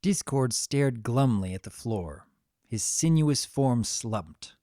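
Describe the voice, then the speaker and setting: Storybook narrator, mid-range voice, animated delivery, very dry sound. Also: medium pitch, takes it slowly, dry recording.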